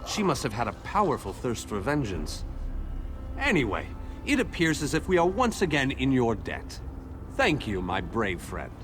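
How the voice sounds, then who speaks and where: A man's voice: mid-range voice, huge pitch swings, normal speed, music in the background. A man voicing an earnest war leader in a video game, solemn and formal. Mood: relieved gratitude. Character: earnest male war leader rallying allies.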